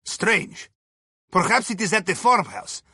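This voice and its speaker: An actor playing a jovial, crafty Russian submarine captain in a video game, planning heists in a wry, conspiratorial way with a raspy voice and clipped non-native phrasing. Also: male voice, high-pitched, huge pitch swings, a little slow, sharp tone, dry recording, studio quality, full character voice. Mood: businesslike, urgent. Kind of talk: video game mission dialogue.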